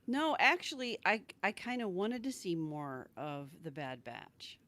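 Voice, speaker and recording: A woman's voice: lowish voice, sing-song, a little slow, bright, forceful tone, no echo, studio quality. A middle-aged woman co-hosting a casual fan chat in a folksy way. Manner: folksy, says y'all. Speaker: live stream co-host.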